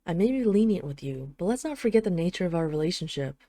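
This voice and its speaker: A woman's voice: fairly low pitch, animated, quick pace, dark tone, dry recording. A woman playing a possessive dragon queen in an intimate roleplay audio drama, teasing, condescending and commanding. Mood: stern, possessive.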